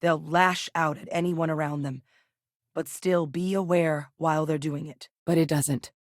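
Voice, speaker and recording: An actress playing a grave, dedicated woman scientist in a space-faring military, speaking in a measured, articulate way as video game dialogue. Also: sits low, steady tone, no echo, studio quality. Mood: explanatory, sober.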